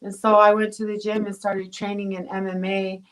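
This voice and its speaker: A woman's voice: medium pitch, little pitch movement, moderate pace, fairly bright tone, rough recording. A woman from Tonga, a guest pro boxer, talking casually in an interview, with rambling phrasing full of fillers and restarts.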